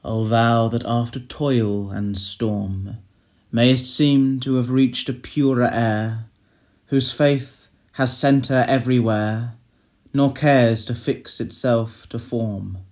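Slow, solemn man reciting classic poetry. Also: medium pitch. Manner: solemn, measured, expressive delivery with hushed line endings. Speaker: poetry reader. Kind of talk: dramatic recitation of classic verse.

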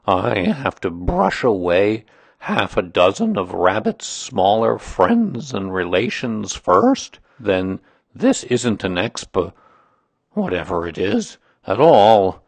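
A man acts out a morose old-fashioned storybook donkey, speaking very slowly. Every phrase plods out aggrieved and put-upon, grumbling steadily in a dry recording. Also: lowish voice, very animated, gravelly, breathy edge, dry recording, heavily acted. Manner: slow, aggrieved, plodding. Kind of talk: children's storybook character reading.

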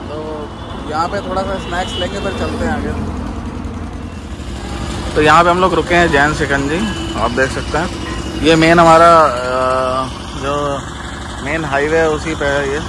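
A man's voice: a little high, fairly even intonation, a little slow, pauses often. Tired man vlogging from a noisy car. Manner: guiding, pointing things out to viewers. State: tired from long driving. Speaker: travel vlogger. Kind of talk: casual road-trip narration. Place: in a car on a highway. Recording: loud traffic and engine noise.

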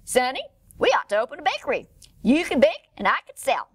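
A woman reads as a courteous male armadillo mailman from a children's storybook. He is folksy and chatty, with an animated, lilting melody and a dry sound. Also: high voice, fairly quick, no room sound.

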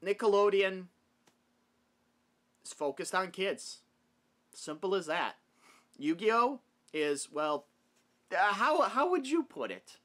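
A man's voice: sits high, animated, slow pace, pauses often, fairly bright tone. A young man talking casually and emphatically to camera, giving his opinions as a video host in a room at home. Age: young adult. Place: small wood-paneled room at home.

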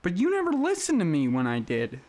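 A performer voicing a lovesick, apologetic young male suitor in an animated film. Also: medium pitch, fairly quick. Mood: pleading.

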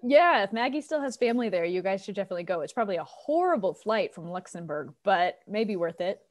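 Woman, thirties, American accent, medium pitch, chatty, animated, informal interview guest. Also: quick pace. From United States, Colorado. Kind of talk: casual chat interview. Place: living room on a video call. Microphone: wired earbuds on a video call.

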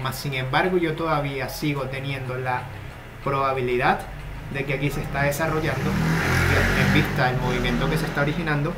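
Man walking through crypto charts. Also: medium pitch. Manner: steady, explanatory, talks through charts. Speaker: crypto trading analyst. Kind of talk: screen-share market analysis. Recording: noticeable background noise.

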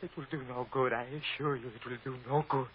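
Man playing a halting, guilt-ridden father, muffled recording. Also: medium pitch, steady tone, close to an everyday voice. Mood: resigned. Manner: halting, repeats himself, formal wording. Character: pious, guilt-ridden father, anxious and stubborn. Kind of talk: old-time radio drama acting. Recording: dull, noisy old radio recording.

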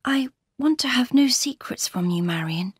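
A woman acting an anguished, breathy young wife. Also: a little high, animated, moderate pace, dry recording, clean studio sound, pitched above the performer's own voice. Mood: anguished confiding. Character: frightened, unhappy young wife confiding secrets.

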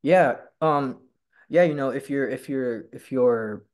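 A man's voice: medium pitch, slow, darkish tone. A young man who stutters, talking on a video call from home, with lots of fillers and repeated words. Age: young adult. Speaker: guest. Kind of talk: casual video-call interview. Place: home room by a staircase. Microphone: laptop webcam on a video call.

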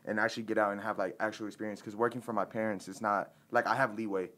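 A man's voice: on the low side, flat delivery, fast, fairly bright tone. A young man applying for a job, answering politely and deferentially, with frequent yes sirs. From United States. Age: young adult. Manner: polite and deferential, keeps saying yes sir. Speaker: job applicant. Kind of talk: casual job interview answers.

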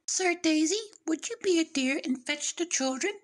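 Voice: very high voice, moderate pace, slight background noise, pitched above the performer's own voice. A performer voicing a sweet, motherly female cartoon mouse queen in a dainty, affectionate way. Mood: gracious.

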